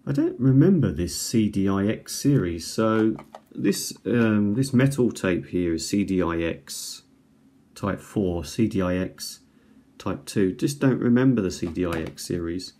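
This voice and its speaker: A man with a low-pitched voice hosts a casual unboxing. He talks in a hesitant, musing way, thinking aloud as he goes. It is a home recording with faint noise behind him. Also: lively intonation, a little slow, warm tone. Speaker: video host reviewing items.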